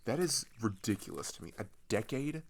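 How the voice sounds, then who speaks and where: Man acting as earnest teenage boy, lively swooping intonation, gravelly fry, hesitant casual delivery, ASMR roleplay. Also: fairly low pitch, a little fast, slight background noise. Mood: exasperated. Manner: hesitant, conversational, trailing off. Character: chatty, earnest, disillusioned male teenager on a journey. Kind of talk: ASMR roleplay, naturalistic improvised-sounding monologue.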